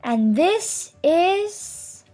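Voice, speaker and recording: High-pitched woman reading a children's storybook. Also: faint music behind. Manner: animated, deliberate storytelling delivery. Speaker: children's read-aloud host. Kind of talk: expressive storybook read-aloud for young children.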